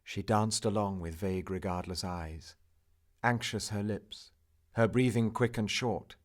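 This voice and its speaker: A man reciting a narrative poem in a solemn, theatrical manner, with measured, drawn-out phrases. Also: fairly low pitch. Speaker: poetry reader.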